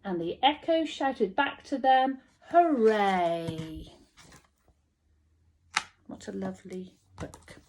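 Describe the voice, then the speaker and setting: A woman's voice: high-pitched, expressive, measured pace, hardly any pauses. A woman reading a children's storybook aloud expressively, like a teacher telling a story to small children.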